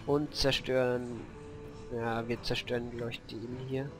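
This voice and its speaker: A man with a cold and a hoarse voice, casually commentating a card game and thinking aloud about his moves, with many fillers. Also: medium pitch, level tone, unhurried. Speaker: gameplay commentator. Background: faint background music.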